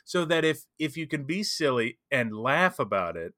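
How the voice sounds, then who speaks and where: A man's voice: mid-range voice, very animated, moderate pace, bright, forceful tone, no room sound, clean studio sound. A man co-hosting a podcast, chatting casually and emphatically about tabletop role-playing. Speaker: podcast co-host.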